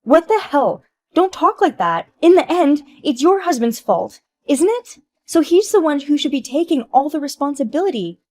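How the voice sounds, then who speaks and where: A woman voicing Emma, an entitled young wife who dodges blame, in a shrill, whiny and indignant voice. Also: animated, measured pace, darkish tone. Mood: panicked pleading.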